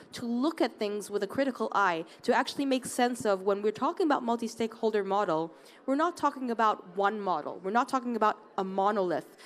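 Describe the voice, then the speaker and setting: A woman from Hong Kong speaking on a conference panel, earnest, often restarting and repeating words. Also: medium pitch. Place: conference open area.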